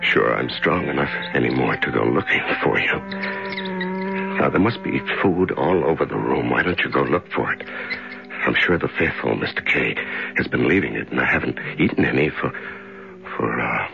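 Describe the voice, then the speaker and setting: An actor playing a weak, despairing prisoner in a radio drama, rambling and halting with bitter resignation. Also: male voice, extremely low pitch, animated, gravelly, full character voice. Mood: weary, resigned. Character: weak, despairing male prisoner talking to a mouse. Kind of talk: 1970s radio drama acting. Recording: dull vintage radio recording.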